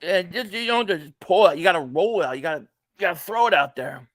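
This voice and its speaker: A man in his late forties with a notably high voice, chatting loosely through a live stream and drifting into jokey asides between viewer comments. The sound is dry and close, with no room around it. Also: expressive, a little fast, dry recording. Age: 40s. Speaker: live stream host reacting to a football game. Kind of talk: casual live stream chat, reading viewer comments aloud.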